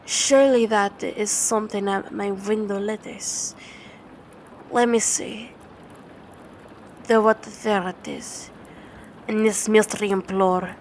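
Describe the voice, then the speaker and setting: Slow, halting woman reading gothic poetry. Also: mid-range voice, fairly even intonation, darkish tone, slight background noise, poor audio. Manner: halting, measured, theatrical, with stumbles and repeated words. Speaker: ASMR narrator. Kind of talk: slow dramatic poetry reading for sleep ASMR.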